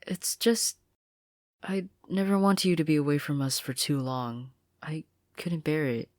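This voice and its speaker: A woman voicing an anxious, apologetic teenage girl in a story reading, hesitant and pleading, often dropping to a breathy near-whisper. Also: low voice, unhurried, darkish tone, no echo, studio quality, pitched above the performer's own voice. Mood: anxious, regretful.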